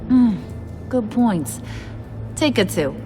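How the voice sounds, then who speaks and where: A woman's voice: fairly high pitch, expressive, slow. A woman playing a playful but menacing female gang boss in a video game, slangy, bossy and teasing. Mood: commanding. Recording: music behind the voice.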